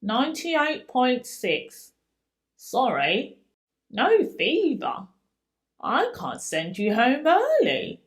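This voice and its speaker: A matter-of-fact storybook school nurse, read by a woman. The voice is high and measured, delivering each short statement plainly. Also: huge pitch swings, heavily acted. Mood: brisk, unsympathetic.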